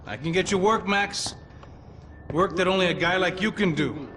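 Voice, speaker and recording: An actor playing a cocky, sociable ex-cop turned bodyguard in a video game, streetwise and persuasive. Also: male voice, high voice, normal speed, background noise, natural voice. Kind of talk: video game cutscene acting. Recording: music playing loudly behind.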